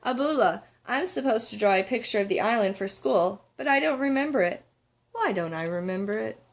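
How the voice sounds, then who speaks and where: A woman's voice: a little high, expressive, a little fast. Storybook schoolgirl played by a woman: young, earnest, curious, always questioning. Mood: curious, pleading.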